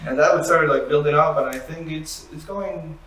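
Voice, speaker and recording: A man's voice: fairly high pitch, normal speed, a bit bright, recorded from a distance. A man from Puerto Rico and Chile, a band member guest talking loosely about his band. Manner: loose, rambling, laughs along. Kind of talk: casual interview chat. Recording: roomy, off-mic with faint noise.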